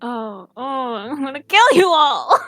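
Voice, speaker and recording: Slow-talking, gushing high-voiced woman. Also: very animated, fairly bright tone, faint music behind. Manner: gushing, swearing, unhurried. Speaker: player. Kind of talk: casual post-session chat.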